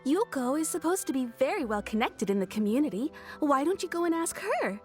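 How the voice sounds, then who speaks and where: An actress playing a friendly, polite fabric shop owner in a video game, eager to help. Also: female voice, high voice, very animated, fairly quick, faint music behind. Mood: helpful, pleased. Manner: polite, helpful. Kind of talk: scripted video game voice acting.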